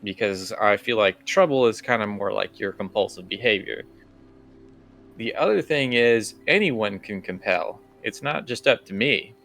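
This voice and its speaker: A man acting as game master, casually explaining and setting scenes for a tabletop game in a halting, conversational way. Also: fairly low pitch, lively intonation, creaky, fairly bright tone.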